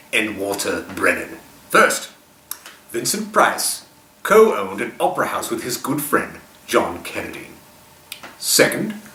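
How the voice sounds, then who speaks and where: A man hosts a retro television show in a scripted manner. His voice is bright and very lively in pitch, heard a little distant in a roomy, echoing space with some noise. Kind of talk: scripted presenting to viewers. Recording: echoey, distant mic with background noise.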